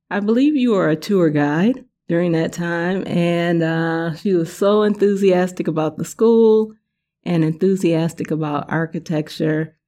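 Low, mellow-voiced woman podcast host. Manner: friendly, welcoming. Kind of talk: podcast interview.

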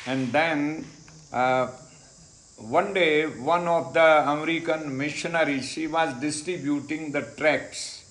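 Slow Indian man, echoey room. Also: fairly high pitch, steady tone, fairly bright tone. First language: Hindi. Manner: slow and deliberate, many "uh" pauses, repeats phrases. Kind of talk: unhurried personal testimony. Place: ashram in India.

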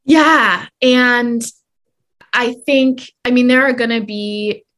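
A woman's voice: on the high side, takes it slowly, a bit bright. A woman from Canada, speaking as an interview guest in a long, reflective way with many fillers and restarts. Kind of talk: conversational interview.

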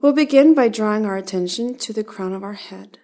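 A woman leading a guided body-scan meditation, giving calm, gentle instructions in short, spaced phrases. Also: medium pitch, brisk.

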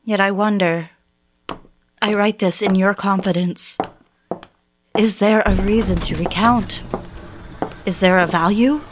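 An actress playing an anguished, bitter 1950s housewife who confides in deliberate, literary phrases, as in a dramatic audio drama narration. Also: female voice, mid-range voice, fairly even intonation, noisy background, lightly acted. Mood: anguished, lofty. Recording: music behind the voice. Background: music.

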